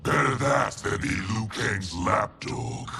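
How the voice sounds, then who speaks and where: A man voicing a proud, resentful general in a video game drama, gravelly and formal, with contempt in his manner. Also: fairly low pitch, slow pace, echoey room, distant mic. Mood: defiant. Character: arrogant, war-hungry male general, proud and resentful.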